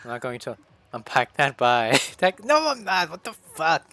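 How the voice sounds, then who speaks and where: A man's voice: on the high side, sing-song, moderate pace, pushed and bright. A man in casual stream chat, reacting in short bursts and laughing often.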